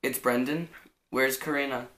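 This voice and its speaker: A man's voice: mid-range voice, fairly even intonation, moderate pace, bright, forceful tone, low-quality recording. A young man, chatting casually and making prank phone calls. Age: teenager or young adult. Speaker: prank caller in a challenge video. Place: indoors in a living room on a couch. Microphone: camera a short distance away.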